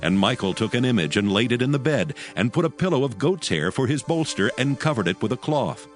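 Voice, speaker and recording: A man narrating a dramatized scripture reading in a solemn, measured storytelling manner. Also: medium pitch, animated, soft background music.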